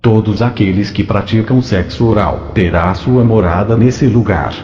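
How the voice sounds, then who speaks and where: A man's voice: fairly low pitch, moderate pace, a little mellow. A man with a Brazilian accent playing a solemn, commanding Jesus in a dramatized religious testimony, his voice heavy with reverb. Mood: stern, reproving. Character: solemn, commanding male Jesus speaking in a vision of hell. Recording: dull lo-fi, long cavernous reverb, music behind.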